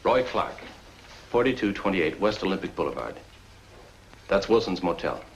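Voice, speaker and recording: Casting: laconic noir tough, low voice, casual slang, slight film hiss. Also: male voice, very animated, normal speed, low-quality recording. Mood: pained, hard-up. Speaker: actor in a film. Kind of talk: 1950s film noir acting.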